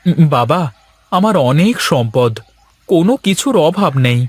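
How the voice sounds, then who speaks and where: A man voicing an anxious, pleading wealthy husband in a fairy-tale cartoon, with earnest, expressive delivery. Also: a little high, measured pace, dry recording.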